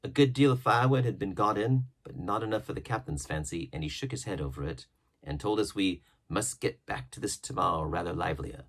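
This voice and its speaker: A man with a husky voice narrating a classic adventure novel as an expressive storybook reading. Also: low voice, fairly quick. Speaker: audiobook narrator.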